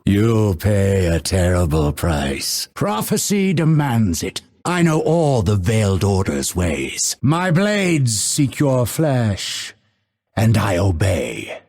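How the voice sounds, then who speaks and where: Grim game assassin, low husky voice, deliberate n menacing, proud exile out for revenge. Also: male voice, darkish tone, heavily acted. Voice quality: gravelly, raspy. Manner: theatrical, deliberate, ominous. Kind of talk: dramatic video game voice lines.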